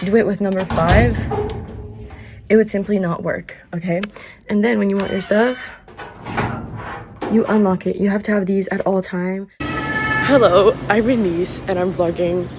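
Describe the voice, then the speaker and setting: A woman's voice: mid-range voice, a little hiss or hum. Chatty young woman vlogging on a phone. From Morocco. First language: French. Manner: chatty and excitable, many fillers. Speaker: travel vlogger. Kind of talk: casual vlog chat. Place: outdoors and indoors while traveling.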